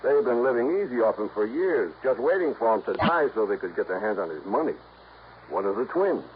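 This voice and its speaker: An American man playing an earnest, businesslike insurance man in a 1950s radio drama, brisk and matter-of-fact with a folksy touch. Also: mid-range voice, sing-song, close to an everyday voice. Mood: grave, explanatory. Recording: muffled, faintly noisy old radio recording.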